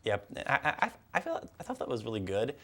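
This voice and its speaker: Halting young man with lively pitch swings. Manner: halting, stammering, repeats words. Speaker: co-host of a film and TV talk show. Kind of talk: casual chat.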